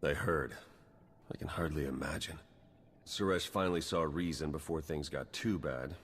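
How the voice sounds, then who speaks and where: A man's voice: extremely low pitch, moderate pace, slight background noise. A man playing a loyal, world-weary intelligence agent in a video game, with plain-spoken, clipped delivery. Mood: weary, resigned. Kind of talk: video game dialogue acting.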